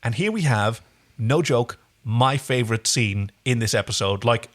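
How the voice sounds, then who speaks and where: Animated, enthusiastic man recapping TV. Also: mid-range voice, moderate pace. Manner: enthusiastic, teasing, opinionated. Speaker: podcast co-host. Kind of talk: casual TV episode recap chat.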